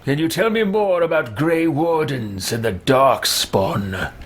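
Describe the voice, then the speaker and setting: A man voicing a haughty, dry-witted male mage in a video game roleplay, speaking deliberately. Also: a little high, lively intonation. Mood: dry, inquisitive.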